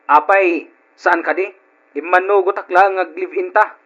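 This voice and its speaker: Cold dismissive young guy in old radio drama, high voice, ilocano accent, dull radio sound. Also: steady tone, normal speed, a bit bright. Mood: scornful. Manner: curt, dismissive. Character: cold, callous young man rejecting his partner.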